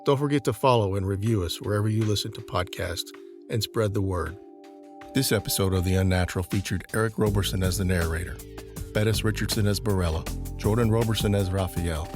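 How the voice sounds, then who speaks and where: Low-voiced man narrating audio drama over music.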